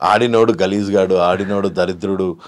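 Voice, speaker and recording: A man's voice: sits low, steady tone, moderate pace. A man with a Telangana Telugu accent speaking as an interview guest in casual, opinionated talk, repeating points for emphasis and mixing in English phrases.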